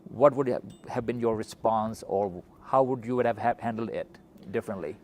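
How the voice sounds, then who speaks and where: A middle-aged man with a Pakistani accent and a fairly low voice hosts a conversational interview. He retells things in an animated way, over noticeable background noise. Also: normal speed. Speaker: interviewer, physician hosting a talk.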